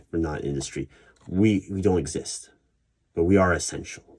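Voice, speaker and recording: A man with a very low, husky voice performs an ASMR interview roleplay. He questions in a measured, probing manner, leaving deliberate pauses as he goes. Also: a little mellow. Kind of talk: ASMR roleplay, one-sided job interview. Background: computer keyboard typing.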